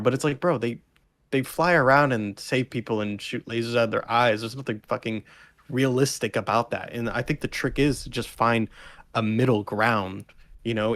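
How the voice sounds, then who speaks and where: A man's voice: mid-range voice, no echo. A man co-hosting a podcast in casual discussion, speaking informally with plenty of slang. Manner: informal, slangy, occasional swearing. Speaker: podcast co-host.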